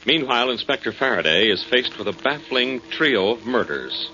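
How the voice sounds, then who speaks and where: A man's voice: mid-range voice, lively intonation, moderate pace. A man narrating a scripted recap as a 1940s radio drama announcer. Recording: muffled 1940s radio recording. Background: loud music.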